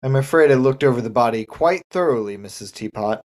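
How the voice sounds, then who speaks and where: A man playing a polite, restrained police detective, measured and formal, in a comic radio murder mystery. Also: medium pitch, animated, a little mellow, natural voice. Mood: tactful, grave.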